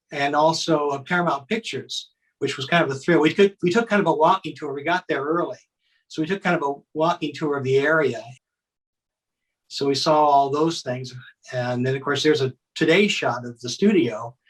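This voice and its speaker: A man's voice: on the high side, poor audio. An older man reminiscing in a chatty, folksy way as an interview guest narrating home footage.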